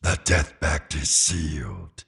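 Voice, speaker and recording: A man's voice: a bass voice, sing-song, a bit bright, heavily acted. A man voicing a menacing abyss demon in a video game, throaty and evil-sounding. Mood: ominous. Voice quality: throaty, gravelly. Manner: slow, evil-sounding delivery.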